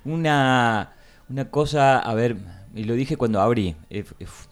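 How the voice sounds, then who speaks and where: A man's voice: medium pitch, measured pace, clean tone. A man with an Argentine accent, a political candidate on a radio interview, speaking emphatically in firm statements. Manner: emphatic, declarative.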